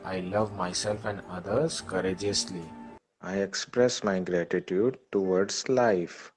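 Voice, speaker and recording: Clear music plays around a man with a low-pitched voice. He speaks slowly as a meditation guide, giving short instructions and affirmations in set, repeated phrases. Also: steady tone.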